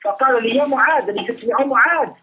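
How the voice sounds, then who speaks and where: A man's voice: extremely high pitch, racing, background noise. A man giving an emphatic religious lesson as a teacher, repeating phrases for stress as he reads and explains texts. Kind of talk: Islamic lesson, reading Arabic texts and explaining them in English. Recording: tinny phone-like radio-style lecture audio.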